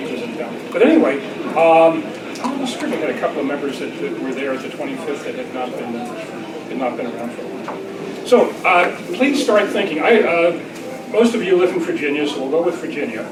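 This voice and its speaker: A man's voice: on the high side, expressive, quick pace, over a noisy crowd. A man chairing an informal club meeting and making announcements in a hesitant, rambling way. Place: large indoor luncheon room. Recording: distant mic, echoey noisy room.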